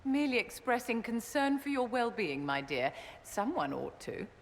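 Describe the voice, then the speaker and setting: A woman's voice: on the high side, very animated, moderate pace. A woman playing a grand, imperious middle-aged court enchantress in a fantasy video game, with a haughty, theatrical manner. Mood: imperious, coy. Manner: haughty, theatrical, silky endearments. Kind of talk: video game cutscene acting. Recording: long reverberant hall, faint noise.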